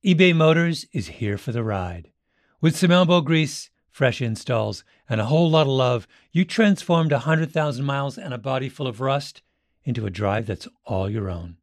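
A man giving a scripted ad read in a lively, conversational manner. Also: mid-range voice, normal speed, dry recording. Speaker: ad announcer.

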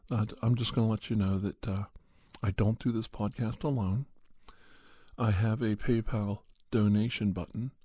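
A man who makes ASMR videos, talking casually to camera from home into a condenser studio mic, his voice worn down by a cold and his talk unhurried and meandering. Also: fairly low pitch, husky, no room sound. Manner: unhurried and meandering, soft at times. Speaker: ASMR channel creator and podcaster. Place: at home, indoors. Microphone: large condenser studio mic.

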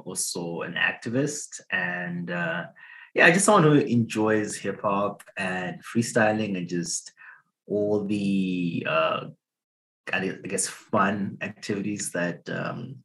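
A man's voice: low voice, fairly bright tone. A man, answering interview questions in an easygoing way with many fillers. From Zimbabwe. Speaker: guest, hip hop artist.

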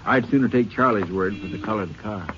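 A man's voice: fairly low pitch, quick pace, close to an everyday voice. A man playing an easygoing small-town sheriff. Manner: easygoing, folksy, reassuring. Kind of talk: 1950s radio drama acting. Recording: dull lo-fi old radio recording with faint noise.

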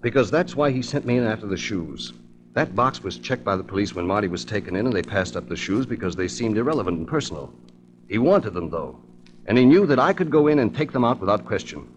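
An actor plays a suave American male investigator in a 1940s radio drama. His voice sits low, and he speaks with measured, articulate confidence and a wry edge, like someone telling his own case. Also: lively intonation, natural voice. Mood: certain, explaining.

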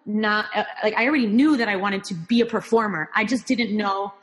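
A woman's voice: medium pitch, a little fast, long reverb tail. A woman who grew up in Miami with Spanish as her first language, a guest talking casually and thoughtfully about herself, with frequent restarts. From Miami, Florida, US. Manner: thoughtful, restarts often, warm laughs. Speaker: guest, performing artist. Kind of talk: casual interview talk.

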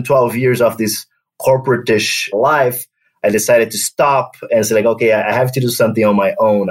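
A man from Brazil with a Brazilian accent, speaking as an interview guest in a measured way, often adding "uh" and "right?". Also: on the low side. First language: Portuguese. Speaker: guest, company co-founder. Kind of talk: conversational interview.

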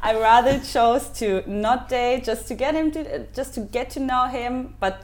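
A woman in her thirties, from Ukraine and raised in Germany, who speaks English as a second language. She is a podcast guest chatting casually and often pauses to search for words. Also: fairly high pitch. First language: not English. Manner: hesitant, searching for English words, with many fillers. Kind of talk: casual interview chat.

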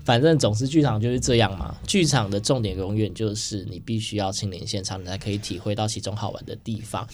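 A man's voice: fairly low pitch, quick pace, few pauses, slight background noise. A man with a Taiwanese accent hosting a casual interview, chatty and often checking for agreement. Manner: chatty, often seeks agreement with tag questions. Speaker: radio show host.

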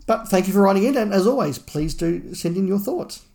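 Podcast co-host, male, noticeable vocal fry, measured and conversational, informal fan talk. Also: high-pitched, lively intonation, mellow. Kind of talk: casual fan podcast chat, reading listener email.

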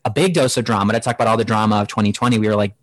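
Excitable, gossipy podcast co-host. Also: medium pitch, very fast, dry recording. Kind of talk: casual podcast chat.

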